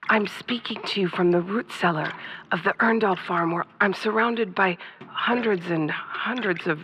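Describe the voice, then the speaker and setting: A woman playing a solemn astronomer professor, speaking in a formal, measured way in a comedic old-time radio drama. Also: medium pitch, fairly bright tone, highs cut off, a little hiss or hum, close to an everyday voice. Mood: grave. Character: solemn female astronomer professor, grave eyewitness.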